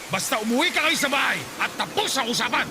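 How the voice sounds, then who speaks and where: A man's voice: extremely high pitch, sing-song, fast talker, noisy background. An actor with a Filipino accent playing an abusive, domineering father of sixty in a Tagalog radio drama, scolding and insulting. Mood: angry, scolding.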